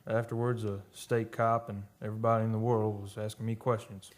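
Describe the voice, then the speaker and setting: A man's voice: lowish voice, flat delivery, a little fast, close to an everyday voice. A man playing a shaken oil-rig worker in a radio drama, telling an eerie tale in folksy, colloquial speech. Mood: haunted. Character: shaken oil-rig worker recounting eerie deaths, defensive under questioning. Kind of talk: radio drama first-person narration.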